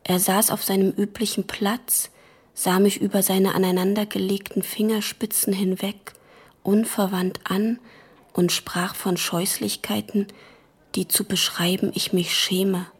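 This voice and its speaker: A woman playing a grave, haunted letter writer, narrating in a hushed, measured way in a radio drama. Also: medium pitch, flat delivery, husky. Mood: hushed, troubled.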